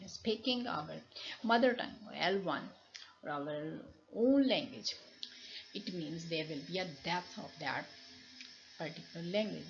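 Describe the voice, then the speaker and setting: Middle-aged woman, Pakistani accent, native Punjabi speaker, lower-pitched creaky voice, explanatory lecture, dull lo-fi home recording. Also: slight background noise. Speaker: university lecturer. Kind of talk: slide lecture, reading and explaining.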